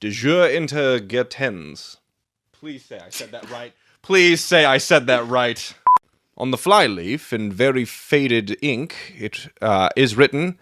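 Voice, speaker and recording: A man's voice: medium pitch, lively intonation, takes it slowly, a bit bright. A man performer in a studio, bantering casually and retaking scripted lines. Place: recording studio.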